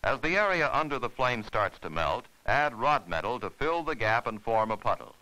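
A man's voice: medium pitch, sing-song, moderate pace, pushed and bright, poor audio. A man narrating an instructional film in a formal, scripted, step-by-step way.

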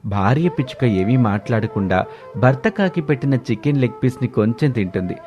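A man's voice: mid-range voice, expressive, brisk, dark tone. A man narrating a scripted children's moral story as the storyteller. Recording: music bed behind voice.

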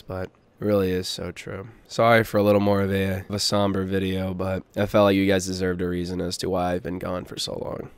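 Low, congested young man, subdued. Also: clean tone, no echo. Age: young adult. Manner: quiet, earnest, hesitant, with pauses. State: congested from a cold. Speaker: gaming YouTuber. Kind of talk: somber personal vlog voice-over.

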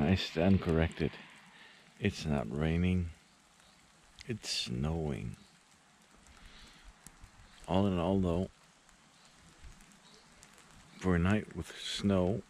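A man's voice: deep voice, slow pace, gravelly, pauses often, a little mellow, a little hiss or hum. A man in his thirties, filming himself at a campsite and chatting plainly to the camera about the weather and his plans. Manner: plain, matter-of-fact commentary to camera. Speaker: vlogger. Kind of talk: casual camping vlog. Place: campsite, in a tent and out in a snowy field. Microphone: handheld action camera at arm's length.

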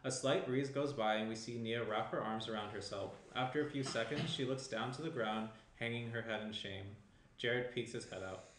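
A young man narrates screenplay action in a medium-pitched voice. The recording is roomy, with faint noise underneath his scripted delivery. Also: normal speed, fairly bright tone. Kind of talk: scripted narration of stage directions. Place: small indoor room around a table. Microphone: shared tabletop microphone, some distance away.

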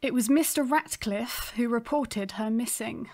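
An actress playing a polite, measured detective sergeant in an audio drama, courteous and matter-of-fact. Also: female voice, on the high side, a little mellow.

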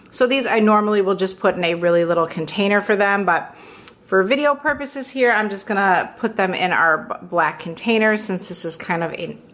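Chatty mom explaining lunch prep. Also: female voice, medium pitch, slight background noise. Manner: chatty, relaxed, fillers like "go ahead" and "like". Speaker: home cook, meal prep channel host. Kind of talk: casual cooking demonstration voice-over.

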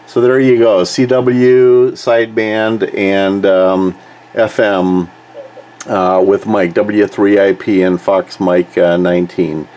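A man's voice: fairly low pitch, slow pace. A man working as an amateur radio operator, narrating his contacts indoors into a camcorder and repeating words and call signs. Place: indoors, room with radio computer station. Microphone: camcorder held or set near the desk. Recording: steady background noise, radio hiss. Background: radio noise.

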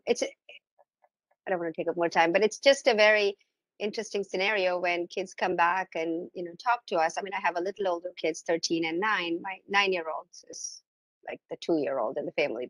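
Casting: middle-aged woman from India, audience member on a video call, informal, hesitant, lowish pitch, dry sound. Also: moderate pace, dry recording, low-quality recording. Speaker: audience member asking a question. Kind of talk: informal question and personal account.

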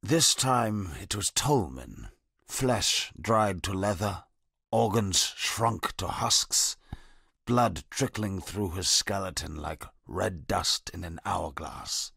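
An actor playing a bitter, disfigured male convict in a video game, talking in a low, brooding, sardonic way with a gravelly, husky voice. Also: expressive, takes it slowly, pushed and bright, dry recording, full character voice. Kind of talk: game character voice lines. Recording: dry studio recording.

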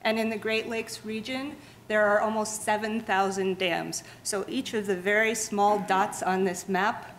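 A woman delivers a museum talk to an audience, her voice at an ordinary middle pitch. She explains step by step, often stopping to restart a word. A faint room noise runs under her voice. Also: a little slow. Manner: steady explanatory pace with frequent restarts and fillers. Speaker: museum lecturer. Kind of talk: public science lecture with slides. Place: lecture room.

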